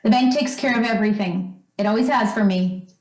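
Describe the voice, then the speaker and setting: A woman's voice: mid-range voice, a little fast, darkish tone, close to an everyday voice. A woman playing a doting, trusting, well-off mother in an old-time radio drama, speaking in a fond, fussing, chatty way. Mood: earnest, confiding. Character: doting, trusting, wealthy mother of a teenage son. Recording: roomy, echoing stage-like sound.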